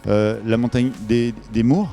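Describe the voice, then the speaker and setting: A man's voice: medium pitch, measured pace, dark tone, soft background music. A man presenting a promotional event interview, improvising and often searching for his words.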